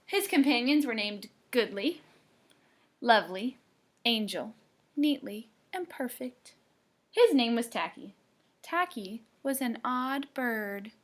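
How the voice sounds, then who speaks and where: A woman reading a picture book aloud to children, playful and dramatic, with expressive narration. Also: fairly high pitch, slow pace. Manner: playful, dramatic, with hushed asides. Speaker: storybook reader for children.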